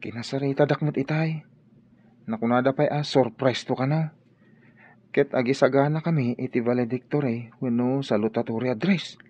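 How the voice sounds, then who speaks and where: A man's voice: mid-range voice, normal speed, darkish tone, husky, no room sound, dull audio, lightly acted. A man playing an earnest young graduating student in a radio drama.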